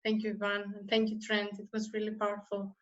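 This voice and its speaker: A woman from Greece whose first language is Greek, slowly sharing a heartfelt thought on a video call. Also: mid-range voice, monotone, fairly bright tone. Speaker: meeting participant. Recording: dull lo-fi call audio.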